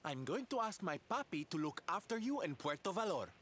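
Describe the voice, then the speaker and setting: A man's voice: high-pitched, very animated, a little fast, a bit bright, husky, heavily acted. An actor voicing a flamboyant, theatrical male entertainer in a video game, playful and teasing in a dramatic, showy way. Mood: earnest, resolute.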